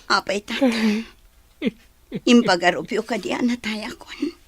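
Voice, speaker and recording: A woman's voice: fairly high pitch, a little slow, slightly rough, no echo. A woman with an Ilocano accent playing an earnest, homesick grown daughter in a radio drama, with sighing, emotional delivery. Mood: tearful, forgiving.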